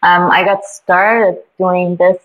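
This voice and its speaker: A woman's voice: lowish voice, flat delivery, slow pace, poor audio. A young woman from Colombia, raised in Germany, coaching artists on business, speaking thoughtfully with frequent hesitations. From born in Colombia, raised in Germany. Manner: thoughtful, hesitant with many ums. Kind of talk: casual interview.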